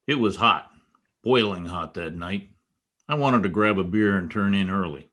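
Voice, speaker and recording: A man's voice: deep voice, moderate pace, fairly bright tone. A man playing a world-weary, wry private detective narrating his case in a 1940s radio noir style. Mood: brooding, weary. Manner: wry, terse, wisecracking. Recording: home-recorded radio play, faint music.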